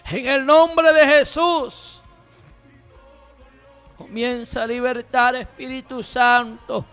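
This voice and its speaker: A man leading fervent intercessory prayer, shouting and pleading in repeated commands, breaking into tongues. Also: very high-pitched, fairly even intonation, takes it slowly, smooth, faint music behind.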